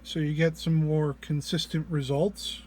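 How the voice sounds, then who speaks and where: A man with a slightly higher-pitched voice presents an informal walk-through. He speaks slowly and hesitantly, with low energy, sounding a bit under the weather as he explains each step. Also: flat delivery, darkish tone, slight background noise. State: under the weather, often clearing throat. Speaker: presenter of a music effects patch walk-through.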